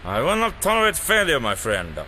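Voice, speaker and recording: Ruthless, controlling male tyrant, played by an actor, audio drama. Forceful, highly animated delivery. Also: fairly quick, a little hiss or hum, exaggerated voice, poor audio. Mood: cold menace.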